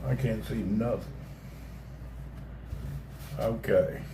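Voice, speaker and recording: A man's voice: on the low side, steady tone, very slow, background noise. A middle-aged man with a Southern American accent, chatting casually with viewers on a live stream in a folksy, joking way. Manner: folksy, joking, reads chat aloud, swears casually. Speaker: livestream host.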